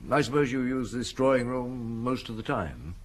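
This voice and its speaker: An actor playing a terse, courteous police chief inspector in an audio drama, asking measured, politely probing questions. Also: male voice, mid-range voice, a little hiss or hum, natural voice.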